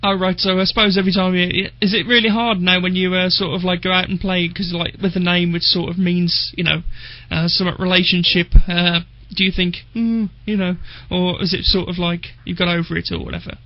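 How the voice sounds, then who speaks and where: A man in his thirties hosting a radio interview, asking questions in a friendly, halting way. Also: high-pitched, little pitch movement, dry recording. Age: 30s. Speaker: radio host. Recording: muffled lo-fi radio recording.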